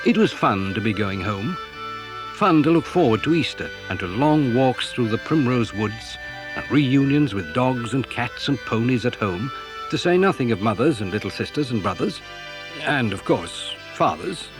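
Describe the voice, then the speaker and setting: A man with a slightly husky voice, narrating a scripted children's story. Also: mid-range voice, expressive, moderate pace, music in the background.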